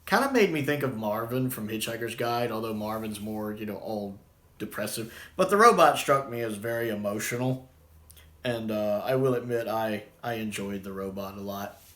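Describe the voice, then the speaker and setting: A man's voice: fairly low pitch, expressive, moderate pace, a bit bright. A man giving a casual, unscripted review in a rambling, conversational way. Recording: echoey room sound.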